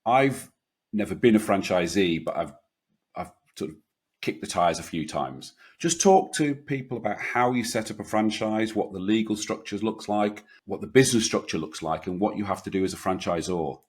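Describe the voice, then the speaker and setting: A man's voice: on the low side, normal speed, husky. A man hosting a business podcast, stumbling and restarting as he asks his questions. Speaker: podcast host. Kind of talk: podcast interview.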